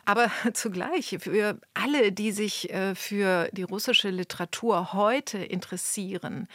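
Woman, literary critic, reflective, emphatic key words, unscripted discussion, medium pitch, dry studio. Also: dry recording. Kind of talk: spontaneous book discussion.

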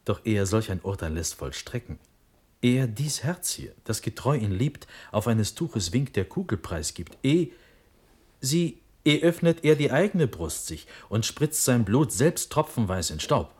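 An actor playing an impulsive, trusting young Prussian prince in a classical verse radio play, with declamatory, impassioned delivery that moves from urgent to hushed. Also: male voice, medium pitch, sing-song, measured pace, slightly rough. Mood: fervently trusting.

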